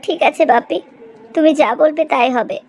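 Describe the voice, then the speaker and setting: A woman's voice: high-pitched, normal speed, a little mellow. A woman playing a dutiful, pleading young daughter in a cartoon drama. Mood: sad, pleading.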